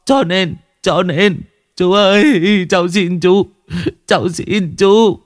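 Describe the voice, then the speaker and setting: A man's voice: on the high side, normal speed, dry recording, studio quality, pitched above the performer's own voice, lightly acted. A man voicing a terrified young male thug who whimpers, pleads and stammers through tears. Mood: whimpering plea.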